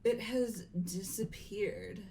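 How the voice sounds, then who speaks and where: A woman playing a composed but uneasy young lady in an amateur video game dub, speaking formally and haltingly. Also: mid-range voice, wildly swooping pitch, mellow, slight background noise. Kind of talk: amateur voiced playthrough of a mystery game.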